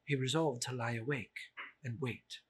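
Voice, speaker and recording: A man's voice: mid-range voice, fairly even intonation, normal speed, husky. A man giving a dramatic narration of a classic literary story.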